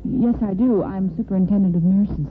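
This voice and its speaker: This is a woman playing a preoccupied, guarded nursing superintendent in an old radio serial. She is hesitant and reserved, at a medium pitch. The recording is narrow-band vintage radio with faint music. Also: normal speed, natural voice. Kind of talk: vintage radio soap opera acting.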